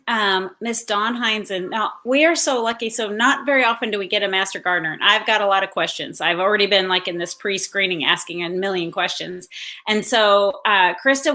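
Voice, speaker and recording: A woman's voice: medium pitch, a little fast, sharp tone. An enthusiastic, gushing woman, hosting a casual live chat show. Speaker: show host.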